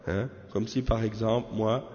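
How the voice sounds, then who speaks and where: A man from Canada giving a measured religious lesson on a text, often tagging his sentences with questions. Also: fairly low pitch, steady tone, a little mellow. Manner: measured, peppers talk with tag questions. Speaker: religious teacher. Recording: echoey hall, dull lo-fi sound.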